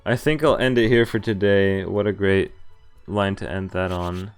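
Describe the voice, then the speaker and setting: A man with a low voice narrates a visual novel on his stream. His delivery is casual and understated as he moves between reading text and reacting, with faint game music behind him. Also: steady tone, normal speed, clean tone. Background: video game music.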